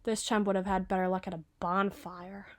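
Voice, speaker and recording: A woman's voice: medium pitch, flat delivery, normal speed, noisy background, close to an everyday voice. A young woman voicing a sardonic, obsessive female detective in an ASMR roleplay, with a sarcastic, morbid, teasing manner.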